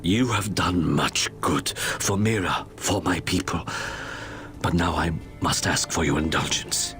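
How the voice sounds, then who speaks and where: Solemn old elder in a game, lowish and unhurried, courteous, haunted, bit of music behind. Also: male voice, a bit bright. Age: older. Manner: formal, measured, halting phrases. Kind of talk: dramatic video game acting.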